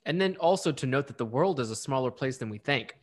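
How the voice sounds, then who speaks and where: A podcast host in his late twenties, a man who speaks in a friendly, reflective way. His voice sits at an ordinary middle pitch, and his tone stays casual and conversational. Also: animated, fast. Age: 20s. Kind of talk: conversational interview.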